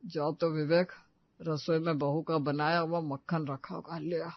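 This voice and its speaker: A man's voice: fairly high pitch, flat delivery, unhurried, muffled recording. A man voicing a grumbling, stubborn older grandfather who is greedy for his food in a cartoon story. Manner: complaining, stubborn.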